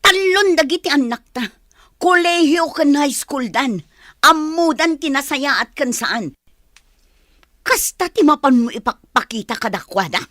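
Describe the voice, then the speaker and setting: High, sharp exasperated Filipino wife played by a woman. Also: lively intonation, measured pace, no room sound, studio quality. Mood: scolding. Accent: Filipino, Ilocano. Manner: nagging, emphatic. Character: exasperated hardworking wife and mother scolding her lazy husband. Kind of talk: radio drama acting.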